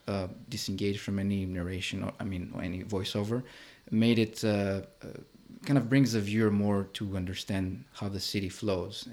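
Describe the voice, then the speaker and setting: Guy with a lowish voice in an interview, kinda halting, keeps restarting his sentences. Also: a little mellow. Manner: halting, repeats words while searching for phrasing. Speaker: filmmaker being interviewed. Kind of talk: interview conversation.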